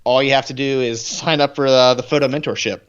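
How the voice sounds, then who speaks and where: A man's voice: mid-range voice, steady tone, brisk, clean tone. A man co-hosting a live photography podcast, joking playfully through casual banter. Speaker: podcast co-host, photography instructor. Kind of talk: casual live-stream chat. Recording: slightly dull lo-fi audio.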